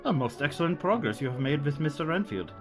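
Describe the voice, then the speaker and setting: A man's voice: on the high side, very animated, fast talker, over music. A man playing a shrewd, secretive old foreign professor in a radio play, speaking formally with inverted foreign phrasing. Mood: confiding.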